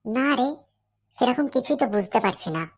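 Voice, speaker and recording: A woman's voice: on the high side, animated, fairly quick, dry recording. A woman voicing a nosy, loyal young cartoon friend who reasons insistently. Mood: puzzled. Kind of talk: comic cartoon dialogue.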